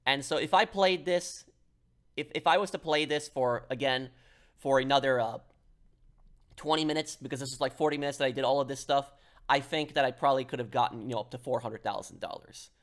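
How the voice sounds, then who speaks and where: A man's voice: mid-range voice, brisk, fairly bright tone. A man giving a casual, step-by-step gameplay tutorial in an instructional way, like an online gaming guide host.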